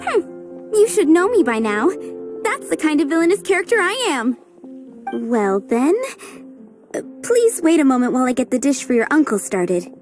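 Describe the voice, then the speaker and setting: High-voiced, friendly waitress. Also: female voice, big pitch swings, normal speed, over music. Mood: playfully teasing. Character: friendly young waitress, obliging and playfully teasing. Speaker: restaurant server character. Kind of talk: video game character acting.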